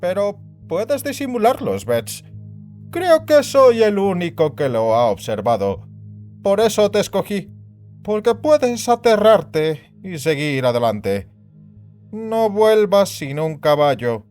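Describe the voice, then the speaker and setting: A man's voice: high voice, big pitch swings, takes it slowly, darkish tone, soft background music, pitched above the performer's own voice, full character voice. A man voicing an overbearing, disapproving institute director in an audio story, lecturing in an emphatic, condescending way. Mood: stern, condescending. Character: overbearing big burly male institute director, perpetually disapproving.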